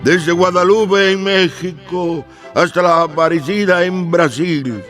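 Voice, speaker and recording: Slow, reverent pope in prayer. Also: male voice, on the high side, fairly bright tone. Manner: solemn, reverent, deliberate. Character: pope praying solemnly to the Virgin Mary. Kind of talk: devotional radio drama prayer.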